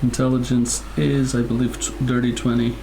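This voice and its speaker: A man playing a tabletop game, chatting in character in a wry, halting, self-correcting way. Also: mid-range voice, level tone, gravelly, poor audio. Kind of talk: improvised in-character game chat. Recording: music behind the voice.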